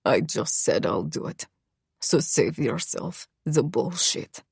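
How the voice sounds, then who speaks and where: A woman voicing a cold, domineering young man who talks in a low, quiet growl. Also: big pitch swings, slow pace, creaky, husky, no echo, clean studio sound, heavily acted. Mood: menacing.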